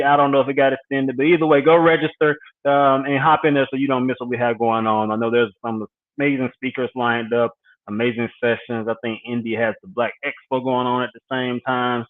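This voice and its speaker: Upbeat man on a tinny line. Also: mid-range voice. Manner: upbeat, promotional, casual with fillers. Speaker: host. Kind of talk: live online talk show announcements.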